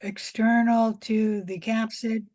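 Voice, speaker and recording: Hoarse, slow-paced woman giving a lecture. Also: on the high side, flat delivery, a little mellow. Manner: unhurried explaining with pauses and repetition. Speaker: college biology lecturer. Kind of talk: informal recorded lecture.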